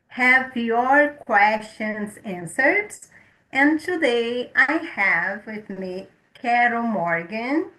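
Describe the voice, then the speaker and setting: A woman hosts a spiritual talk show in a slow, gentle way, now and then stumbling over her words. Her voice is bright and sits a little high. It rings in an echoey room. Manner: gentle, unhurried, occasional stumbles. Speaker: host.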